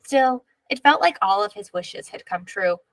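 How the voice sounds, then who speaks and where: A woman reading a children's picture book aloud in measured, expressive narration, drawing out key words. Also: medium pitch, no room sound, rough recording.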